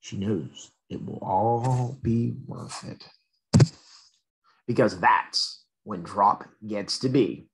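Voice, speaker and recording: A man's voice: on the low side, takes it slowly, slightly rough, low-quality recording. A man reading a children's picture book aloud expressively, addressing young listeners with questions and asides. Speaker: read-aloud storyteller.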